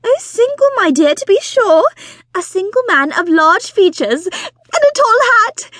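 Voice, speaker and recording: A high, loud, shrill mother, fussy and excitable, gushing with impatience, as a female narrator puts her on. Her voice leaps up and down all the time, in a dry, close-miked storybook reading. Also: a little slow, dry recording, heavily acted. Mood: excited, gushing. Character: excitable fussy middle-aged mother, matchmaking wife.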